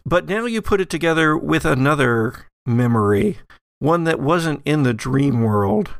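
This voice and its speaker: A man's voice: a little high, expressive, unhurried, vocal fry, dry recording, studio quality. A man narrating as game master of a horror tabletop role-playing game.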